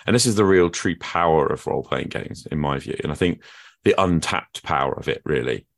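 A man's voice: a bass voice, animated, fairly quick, gravelly. A man hosting a podcast interview, musing in an earnest, reflective way. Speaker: podcast host. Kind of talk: conversational interview.

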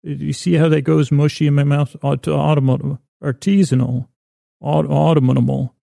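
A man hosting a podcast with a rambling, whimsical bedtime monologue, in a creaky voice, meandering and halting as he repeats and doubles his words. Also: on the high side, little pitch movement, warm tone, no echo, clean studio sound. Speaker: podcast host.

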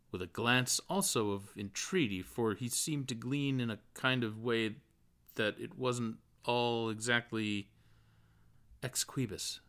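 A man narrates a literary audiobook. His voice sits a little low, and he reads long, winding sentences with expression. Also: fairly bright tone. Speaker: audiobook reader.

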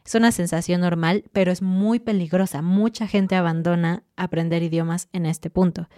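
A woman hosting a podcast for Spanish learners, giving clear, encouraging advice with lively asides. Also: fairly low pitch, moderate pace, no room sound, studio quality. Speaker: Spanish teacher and podcast host.

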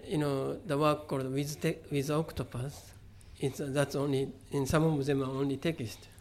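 A middle-aged man from Japan with a Japanese accent, answering interview questions about his art in a halting way, with many fillers and restarts. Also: a little high, level tone, darkish tone, slight background noise. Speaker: visual artist, interview guest. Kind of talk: conversational interview.

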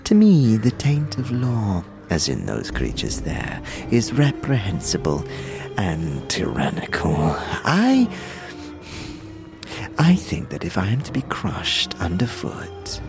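Hushed, swooping, flowery old tippler. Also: male voice, fairly low pitch, huge pitch swings, over music, full character voice. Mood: musing, disdainful. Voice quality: soft, breathy, hushed. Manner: flowery, halting, pompous speech. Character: short rotund older male tavern drinker, humble, poetical, chaos-loving. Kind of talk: audio drama acting.

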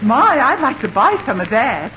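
An actress in a 1950s radio comedy playing an excitable, smitten, wisecracking woman friend, exclamatory and swooning. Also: on the high side, moderate pace. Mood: smitten, comic. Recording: very noisy, muffled old radio recording.

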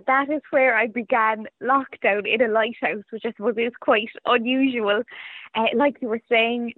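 A woman's voice: on the high side, no room sound. A woman with an Irish accent being interviewed, chatting casually and reflectively in a self-deprecating way over a phone-like remote line. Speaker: playwright and performer being interviewed.

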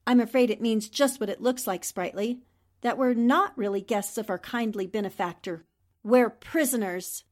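A woman playing a composed, shrewd newspaperwoman in a 1940s-style radio drama, speaking in a polite, measured way. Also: fairly high pitch, close to an everyday voice. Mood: suspicious.

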